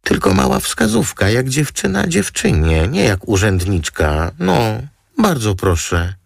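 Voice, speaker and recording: A man's voice: medium pitch, huge pitch swings, measured pace, creaky, dry recording, clean studio sound, pitched above the performer's own voice, exaggerated voice. A man voicing a gushing, theatrical young female model with a cooing, coquettish manner in an audiobook. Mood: coaxing.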